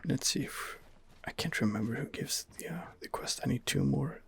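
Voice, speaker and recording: A man's voice: mid-range voice, moderate pace, vocal fry, fairly bright tone. A man giving whispered ASMR commentary on a game as its host, in a hesitant, rambling manner. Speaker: gaming video host.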